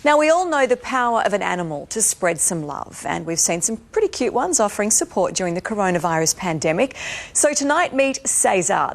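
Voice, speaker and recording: A woman's voice: medium pitch, gravelly. A woman presenting TV news in a friendly, conversational way. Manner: friendly, conversational anchor delivery.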